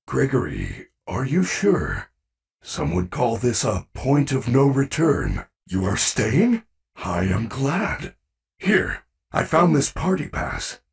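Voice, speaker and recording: Casting: caring animatronic bear mascot for a game, formal and earnest, lively intonation, raspy edge. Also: male voice, mid-range voice, far from the mic, heavily acted. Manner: earnest, formal, precise speech without contractions. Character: kind, protective male animatronic bear entertainer robot. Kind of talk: video game voice acting.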